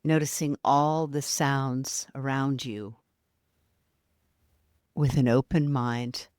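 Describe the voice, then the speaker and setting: A woman leading a guided yoga nidra relaxation in a soft, soothing manner, leaving long pauses between short phrases. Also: a bass voice, fairly even intonation, no room sound, studio quality.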